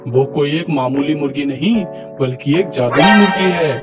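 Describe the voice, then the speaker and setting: A man's voice: on the high side, normal speed, lo-fi sound, loud music behind the voice, natural voice. Man playing a humble cartoon village husband. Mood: earnest, deferential. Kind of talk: cartoon story dialogue.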